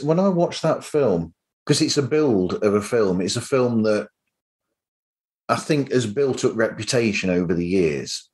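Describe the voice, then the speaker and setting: A man with a fairly low voice hosts a conversational interview. He thinks aloud in a reflective, rambling way, looping back over his points. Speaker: podcast host. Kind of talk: casual interview chat.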